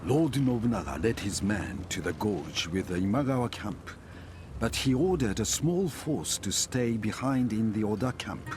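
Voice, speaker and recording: A man's voice: lowish voice, expressive, moderate pace, noisy background, natural voice. A man playing a solemn, resolute samurai chronicler, giving dramatic scripted historical narration for a game cutscene over music. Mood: solemn storytelling. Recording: music behind the voice. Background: cinematic music.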